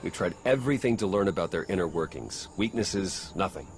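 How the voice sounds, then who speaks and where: An actor playing a grim, worried agent briefing a commander in a video game, earnest and grave. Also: male voice, low voice, lively intonation, a little fast, over music. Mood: worried, urgent. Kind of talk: dramatic video game acting.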